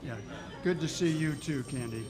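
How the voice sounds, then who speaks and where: An amiable homicide cop acted by a man in an old-time radio play. He talks in a casual, halting, slightly bashful way over clearly audible music. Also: fairly high pitch, lightly acted. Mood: casual, wary. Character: friendly, hesitant male police homicide inspector.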